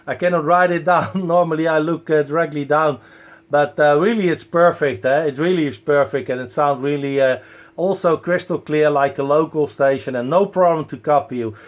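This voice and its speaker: Dutch guy doing ham radio callsigns, fairly high voice, keen, bit halting. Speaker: amateur radio operator. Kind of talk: ham radio contact, spelling callsigns phonetically. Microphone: close broadcast dynamic microphone.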